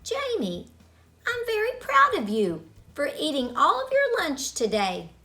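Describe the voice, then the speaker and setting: A woman's voice: sits high, wildly swooping pitch, unhurried, sharp tone, pitched above the performer's own voice, heavily acted. A woman voicing an encouraging, approving female schoolteacher in a children's storybook tale. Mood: proud. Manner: exclaiming, approving.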